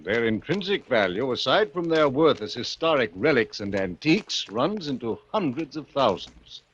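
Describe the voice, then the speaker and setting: Formal attorney, very animated pitch. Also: male voice, slight background noise. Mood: businesslike. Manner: measured, formal, businesslike. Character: brisk, formal male family attorney. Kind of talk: 1940s radio acting. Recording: muffled vintage radio broadcast.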